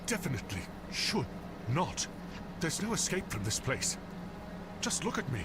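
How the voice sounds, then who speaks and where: Cursed jittery wannabe knight, kinda high voice, gloomy and doomy, noisy game audio. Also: male voice, sing-song, moderate pace. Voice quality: anxious, doom-laden delivery. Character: cursed, fretful would-be knight man. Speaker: game character. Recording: game audio with clear background noise.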